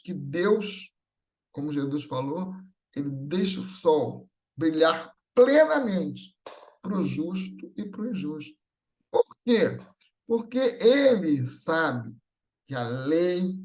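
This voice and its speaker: A man's voice: on the high side, darkish tone. A man giving a didactic religious talk, posing rhetorical questions and often checking for agreement. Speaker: religious lecturer. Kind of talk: Spiritist talk, didactic public lecture.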